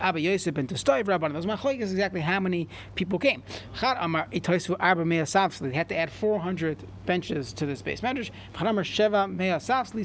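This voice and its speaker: High-voiced man teaching Talmud. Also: slight background noise. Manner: reads in chant then translates, frequent restarts. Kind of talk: Talmud class, reading and translating.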